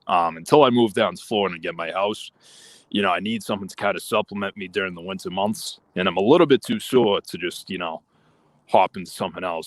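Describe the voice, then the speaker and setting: A man's voice: low voice, fairly bright tone, no room sound. A man calling in to a podcast, speaking hesitantly with many fillers. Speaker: caller. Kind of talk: casual call-in chat.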